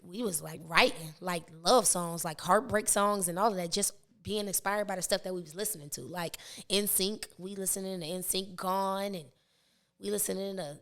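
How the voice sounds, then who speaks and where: A raspy-voiced woman in her twenties, a rapper talking as a podcast guest, rambling through her story with many fillers and restarts. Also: mid-range voice. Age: 20s. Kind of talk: casual interview chat.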